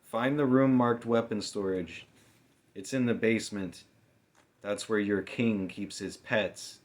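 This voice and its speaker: Man voicing a venomous, forceful young female thief. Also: lowish voice, fairly even intonation, takes it slowly, voice pushed up. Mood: commanding. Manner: snapping, forceful threats. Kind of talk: audiobook character dialogue.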